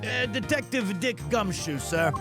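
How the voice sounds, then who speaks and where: A man playing a loyal, bumbling game detective with music behind him. He talks slowly and hesitantly in a folksy, eager way, often stammering. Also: sits high, lively intonation. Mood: awkward. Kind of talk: video game dub played live.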